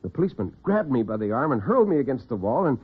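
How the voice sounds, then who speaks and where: An American man telling dramatic tales as host and storyteller in classic radio style, theatrical and expressive. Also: mid-range voice, very fast, slightly rough. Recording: narrow-band vintage radio recording, muffled.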